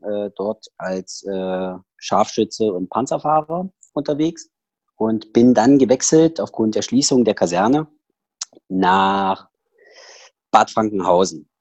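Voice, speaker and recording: A man's voice: fairly low pitch, darkish tone, no room sound. A man with a Saxon accent, a podcast guest talking casually over a headset, rambling with many fillers and restarts. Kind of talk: casual personal interview talk.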